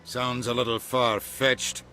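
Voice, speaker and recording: A sharp, forceful voice over faint ambience. a middle-aged ambassador in a sci-fi game, exasperated and self-important. He is curt and officious, like someone forever being inconvenienced. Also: medium pitch, flat delivery, takes it slowly, slight background noise. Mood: skeptical, dry. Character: irritable, pompous middle-aged male human ambassador. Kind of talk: cinematic video game acting.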